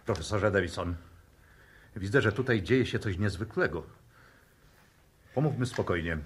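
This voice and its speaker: An actor playing a conciliatory, puzzled professor in a 1960s radio drama, with a husky voice. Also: male voice, fairly low pitch, animated, normal speed, slight background noise, lightly acted. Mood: placating.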